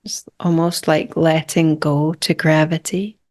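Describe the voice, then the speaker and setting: Female meditation guide: very slow, even delivery, calm, short phrases, generous pauses. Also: low-pitched. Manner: calm, unhurried, hushed phrases with long pauses.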